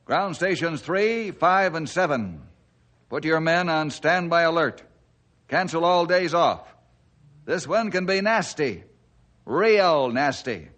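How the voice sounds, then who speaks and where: A decisive American ranger chief in a radio adventure serial. His slightly high voice carries authority, with clipped, commanding phrasing. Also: lively intonation, takes it slowly, a bit bright. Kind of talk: radio adventure drama acting.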